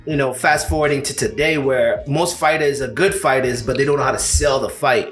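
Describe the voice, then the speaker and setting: A man's voice: medium pitch, steady tone, brisk, a bit bright, faint music behind. A man, co-hosting a casual combat sports chat and rambling with many "you know"s and restarts. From Uganda. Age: 30s. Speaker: co-host of a combat sports show. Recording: echoey room.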